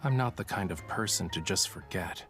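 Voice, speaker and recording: A man's voice: low-pitched, normal speed, over music, lightly acted. A man voicing a cocky, whimsical young painter in a video game, with a dry, playfully complaining delivery. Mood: dry, impatient.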